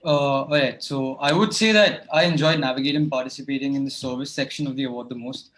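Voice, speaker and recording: A man's voice: medium pitch, fast, fairly bright tone, poor audio. A teenage boy on a video call panel, answering in earnest, formal phrases.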